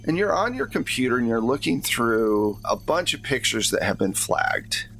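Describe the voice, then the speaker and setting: A man's voice: medium pitch, sing-song, moderate pace, fairly bright tone, faint music behind, low-quality recording. A man narrating a tabletop roleplaying game as game master in a casual, conversational way.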